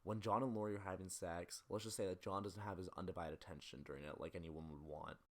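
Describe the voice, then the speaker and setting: A man narrates a scripted film analysis in a fairly low voice. He moves along at a rapid clip and sounds critical and opinionated, like a video essayist making a case. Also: fairly even intonation.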